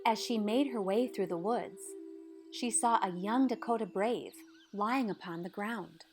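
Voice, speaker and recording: A woman narrating a storybook aloud in a measured, expressive way, with dramatic pauses. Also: medium pitch. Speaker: storybook reader. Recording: music behind the voice. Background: background music.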